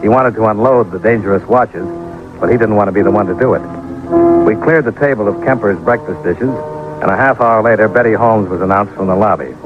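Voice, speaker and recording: A man's voice: fairly low pitch, a little fast, lightly acted. An actor playing a businesslike investigator, narrating his case in a clipped, matter-of-fact way for an old radio detective drama. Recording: muffled vintage radio recording, music behind.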